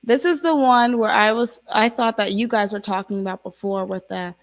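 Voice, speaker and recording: Gravelly-voiced woman chatting loosely. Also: medium pitch, fairly even intonation, normal speed. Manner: rambling, lots of fillers and restarts. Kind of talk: casual chat.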